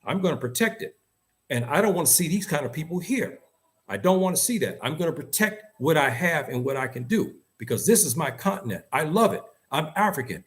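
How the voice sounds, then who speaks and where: A man's voice: a little high, very animated, brisk, fairly bright tone. A man with an American accent giving opinionated, unscripted talk in an emphatic, insistent manner, repeating phrases for stress. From United States. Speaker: host and commentator.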